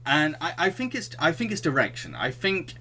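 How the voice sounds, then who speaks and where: A man's voice: on the high side, very animated, normal speed, sharp tone, husky. A young adult man hosting a film discussion podcast, talking through plots and opinions. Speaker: podcast host. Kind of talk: film discussion, partly read-out plot summary.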